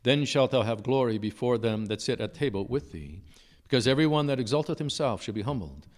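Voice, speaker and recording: Solemn priest preaching in church. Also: male voice, medium pitch, animated. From United States. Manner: measured, solemn preaching with rhetorical questions. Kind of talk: gospel reading and sermon.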